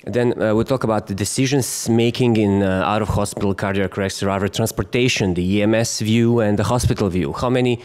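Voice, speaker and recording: A man with a Croatian accent lectures at a medical conference with a fairly low voice. He halts often, filling gaps with "uh" and restarting phrases, and now and then jokes with the audience. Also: steady tone, fairly quick, hardly any pauses, darkish tone, clear, resonant tone. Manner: hesitant, many fillers and restarts, jokes with the audience. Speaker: physician lecturer. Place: conference hall.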